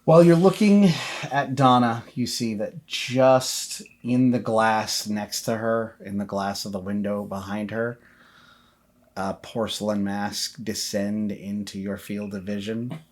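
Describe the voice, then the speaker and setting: A man with a deep voice acts as game master for a tabletop horror game. He narrates the scene to his players in a conversational way and calls for dice rolls. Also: fairly even intonation, unhurried.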